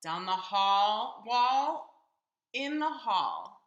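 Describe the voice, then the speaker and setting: A woman's voice: medium pitch, sing-song, extremely slow, fairly bright tone, reverberant, muffled recording. A woman reading a storybook aloud to young children expressively and theatrically, putting questions to her listeners.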